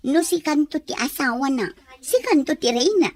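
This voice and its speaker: High-pitched conniving confidante, Ilocano. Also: female voice, expressive, normal speed, no echo. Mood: teasing. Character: gleeful, conniving young female confidante. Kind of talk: Ilocano radio drama acting.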